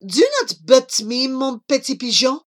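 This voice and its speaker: A woman's voice: sits high, normal speed, fairly bright tone, voice pushed up. A woman voicing an amorous, theatrical male pigeon from a children's storybook, with a French accent and flowery, grand romantic speech. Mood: ardent wooing. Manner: florid, grandly romantic, easily distracted.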